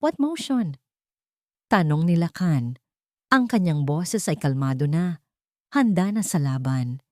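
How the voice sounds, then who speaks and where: Woman, Filipino accent, lowish voice, dramatic story narration, no room sound. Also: lively intonation, measured pace, dark tone, no room sound, clean studio sound.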